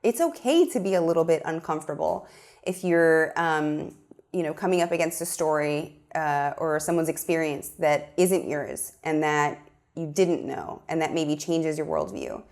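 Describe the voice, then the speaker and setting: A woman's voice: sits low, animated, moderate pace, a little mellow. A young adult woman filmmaker from Miami, speaking earnestly and thoughtfully in a studio interview, with frequent ums. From Miami, USA. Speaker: filmmaker guest. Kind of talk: interview conversation.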